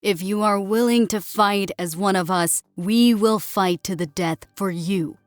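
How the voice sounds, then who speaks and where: A woman's voice: mid-range voice, a little slow, dry recording, soft background music, natural voice. A woman voicing a proud, assuring female senior drill sergeant in an audiobook, addressing recruits in a formal military way. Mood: proud, earnest. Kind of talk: dramatic audiobook character acting.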